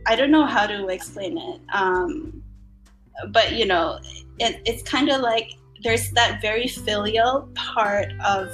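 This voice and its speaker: Woman in her 30s from the philippines chatting on a podcast, lots of um and like, bright tone. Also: mid-range voice, slow, faint music behind, poor audio. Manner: reflective, many fillers and hedges. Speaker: podcast guest. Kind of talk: casual conversation.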